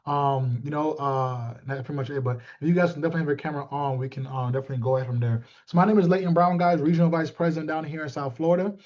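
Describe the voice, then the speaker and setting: A man's voice: fairly high pitch, steady tone, rapid-fire, hardly any pauses, a little mellow. A man in his thirties giving an informal motivational team talk as a coach, often repeating himself and checking in with listeners. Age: 30s. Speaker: sales team leader and coach. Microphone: video call. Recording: muffled, lo-fi video call audio.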